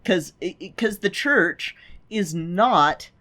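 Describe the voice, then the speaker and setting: A woman's voice: fairly low pitch, lively intonation, slow, fairly bright tone. A woman co-hosting a podcast, chatting casually with wry, emphatic opinions. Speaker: podcast co-host.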